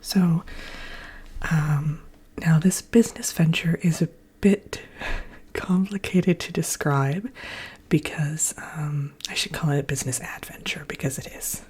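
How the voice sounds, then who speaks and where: A woman hosting an ASMR channel, giving a whispered update chat with soft pauses and fillers while congested and croaky from allergies. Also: low-pitched, steady tone.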